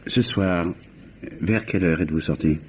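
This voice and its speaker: A man's voice: deep voice, normal speed, lightly acted. An actor playing a stern, insistent policeman with a curt, controlled, probing manner, in old French radio mystery style. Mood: curt, authoritative. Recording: dull, noisy vintage radio recording.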